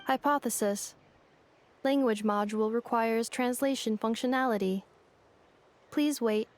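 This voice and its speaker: An actress voicing a calm, analytical female android in a video game, speaking in flat, precise phrases. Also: a little high, unhurried, natural voice. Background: game music.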